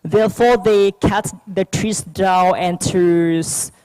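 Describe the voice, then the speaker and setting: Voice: mid-range voice, fairly even intonation, darkish tone, clean tone, poor audio. Young speaker with a Vietnamese accent. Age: young adult. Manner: hesitant, halting, many restarts and fillers. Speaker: youth panel speaker. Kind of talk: prepared speech at a youth forum.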